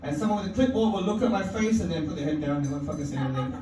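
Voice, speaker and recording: A man's voice: a little high, animated, rapid-fire, dark tone, slight background noise. A man from performing a stand-up set in a comedy club, wry and profane, chuckling at his own lines. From London. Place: comedy club stage, indoors. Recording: echoey club audio from far off.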